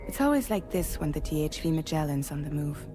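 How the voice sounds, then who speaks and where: A woman's voice: low voice, sing-song, a little fast, music in the background. A woman acting as a composed, resolute ship commander in a cinematic video game. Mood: composed, explanatory. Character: composed female commander of a civilian ship crew, welcoming, resolute.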